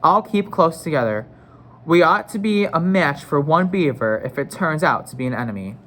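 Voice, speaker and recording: A man voicing a steady, sensible schoolboy, the eldest brother in a storybook, earnest and decisive. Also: on the high side, normal speed.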